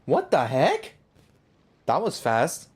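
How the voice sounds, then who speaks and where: A woman's voice: rumbling low, wildly swooping pitch, slow, darkish tone, breathy edge. A woman live streaming a video game, reading dialogue aloud and adding casual commentary.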